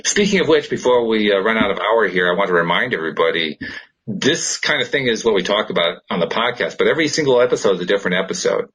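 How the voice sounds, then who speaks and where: Male podcast host, very raspy and lowish, with lively, swooping intonation and an enthusiastic, conversational delivery. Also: fast, poor audio. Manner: upbeat, enthusiastic.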